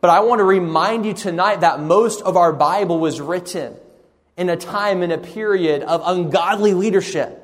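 A man preaching an earnest sermon, often repeating words and pausing with fillers. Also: high voice, long reverb tail. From raised partly in Sri Lanka on the mission field.

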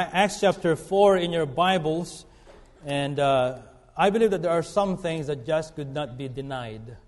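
Brief: middle-aged man, guest preacher, slightly high voice, earnest and halting, church hall reverb. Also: a little mellow. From Philippines. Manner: earnest, halting with fillers and restarts. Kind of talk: sermon. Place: church sanctuary.

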